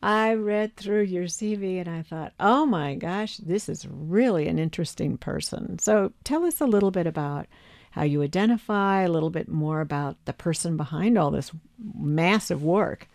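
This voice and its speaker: A woman with a low-pitched voice hosts a broadcast interview program. She moves between scripted introductions and questions for a guest. The sound is dry, with very little room in it. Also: moderate pace, creaky, darkish tone, no echo. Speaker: news magazine host and interviewer.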